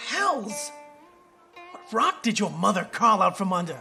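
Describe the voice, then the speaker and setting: A boisterous drunk scoundrel in an audio adventure. His voice is high and bright, and he drags his words out in a slow, slurred drawl. He is all bluster over a cowardly core. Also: very animated, creaky, faint music behind, heavily acted. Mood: flustered. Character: drunken, boisterous male tavern rogue, cowardly when pressed. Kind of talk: audio drama acting.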